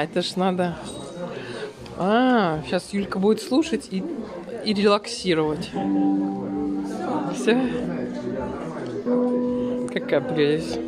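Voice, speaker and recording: A woman's voice: on the low side, slow pace, pauses often, loud music behind the voice. A woman vlogging in casual, spontaneous chat with an appreciative, exclaiming manner. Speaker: vlogger filming an expo visit. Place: large busy indoor expo hall. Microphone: handheld camera or phone mic. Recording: noisy crowded hall, loud instruments playing. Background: crowd chatter and melodic percussion instruments.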